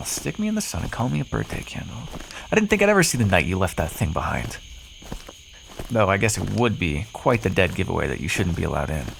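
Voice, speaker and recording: A man's voice: lowish voice, gravelly, a little hiss or hum, low-quality recording. A man playing a cocky, flirtatious, sardonic vampire in an ASMR roleplay, teasing the listener with pet names, sarcasm and swearing. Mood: sardonic, amused.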